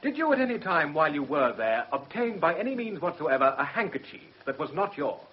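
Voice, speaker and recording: A shrewd English defence barrister with a Received Pronunciation accent. His voice sits a little high and moves expressively, yet stays formal and precise. The radio drama recording is dull and muffled. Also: sing-song. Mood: formal, probing. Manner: formal, precise, measured questioning. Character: shrewd, controlled male defence barrister under pressure.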